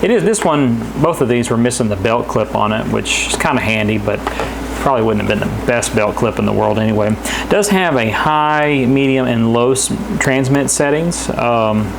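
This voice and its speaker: Halting man over clearly noisy background hum. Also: mid-range voice. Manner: halting, hesitant, plain-spoken. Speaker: product reviewer. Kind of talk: casual unscripted product demonstration. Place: indoors in a house.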